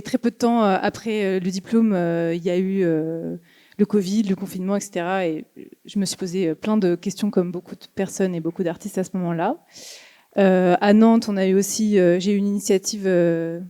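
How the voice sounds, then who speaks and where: Young woman, from Lyon, artist panelist, hesitant delivery, warm tone. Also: medium pitch, clear, resonant tone. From Lyon, France. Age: young adult. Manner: hesitant, many fillers and restarts. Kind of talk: panel talk. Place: museum auditorium.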